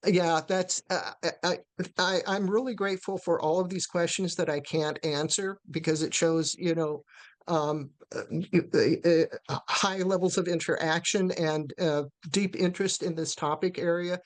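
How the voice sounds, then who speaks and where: A woman's voice: lowish voice, fairly even intonation, moderate pace. Hesitant woman presenter, full of fillers. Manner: hesitant, many fillers and restarts. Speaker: webinar presenter on interpreting. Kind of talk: live webinar Q&A answers.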